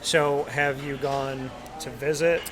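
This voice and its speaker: A man hosting a casual live talk show, telling animated stories and asking a guest questions. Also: mid-range voice, slow, over music. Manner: chatty storyteller, animated asides. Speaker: host. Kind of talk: casual interview chat. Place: busy restaurant. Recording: loud crowd chatter behind.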